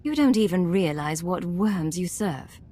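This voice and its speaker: A woman's voice: on the low side, expressive, a little slow, dark tone. A woman playing a controlled, authoritative imperial secret agent in video game dialogue. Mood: commanding. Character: cool, commanding female imperial secret agent.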